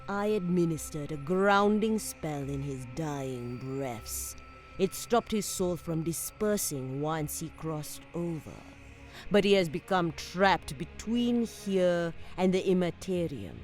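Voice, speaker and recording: A solemn, cryptic witch , played by a woman, speaking very slowly and gravely. It is a ritualistic delivery for a dark sci-fi audio drama, with faint music behind. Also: on the low side, lively intonation. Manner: slow, ritualistic.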